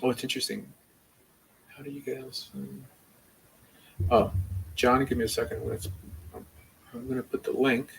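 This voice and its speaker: A man's voice: medium pitch, little pitch movement, moderate pace, gravelly, long pauses, slight background noise, low-quality recording. A man speaking casually as a rabbi and livestream host, rambling and opinionated in an informal way. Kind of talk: casual livestream chat and debate.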